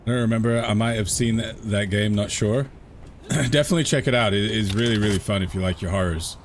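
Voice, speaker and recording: Chatty low-voiced man streaming a game. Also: fairly quick, a little hiss or hum. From England, London. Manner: chatty, answers viewer questions, laughs often; repeats himself while thinking aloud. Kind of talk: casual live-stream chat.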